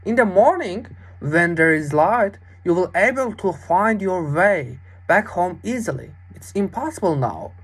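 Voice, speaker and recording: A woman voicing a big, sly, child-eating monster in a fairy tale, with slow, drawn-out questioning. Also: lowish voice, animated, husky, full character voice. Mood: coaxing.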